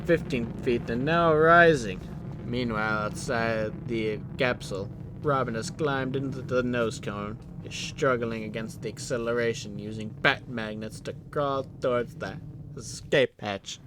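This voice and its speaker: A man reads dramatic action narration for an audio drama. His mid-pitched voice is theatrical, delivering play-by-play over clearly audible background rumble and noise. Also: unhurried. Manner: theatrical, present-tense play-by-play. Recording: noisy, vehicle rumble and ambience behind.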